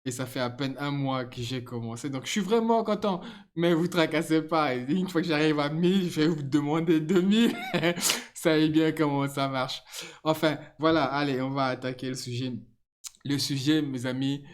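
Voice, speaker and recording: A middle-aged man, not a native French speaker, chatting casually to his viewers with frequent laughs and halting restarts. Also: on the high side. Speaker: channel host.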